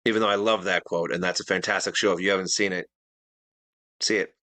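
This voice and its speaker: Male co-host, bright tense tone, rambling defensive chat, dry recording. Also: sits low, steady tone, fast talker, no echo. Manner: defensive, rambling, many restarts. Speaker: podcast co-host. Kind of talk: casual debate chat.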